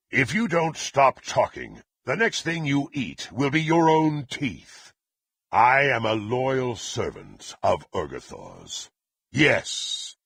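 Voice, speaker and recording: A man playing a murderous, proud warrior in a fantasy video game, with a gravelly, raspy voice and archaic, haughty, theatrical delivery. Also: fairly low pitch, fairly bright tone, dry recording, full character voice. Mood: furious, threatening, then deferential, proud. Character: murderous, menacing, proud warrior serving a dark lord.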